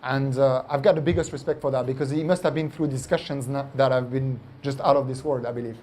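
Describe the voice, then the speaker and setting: A man from France with a French accent, a company founder answering interview questions in an animated way, often tagging "right?" and "like". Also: medium pitch, fast, a little hiss or hum.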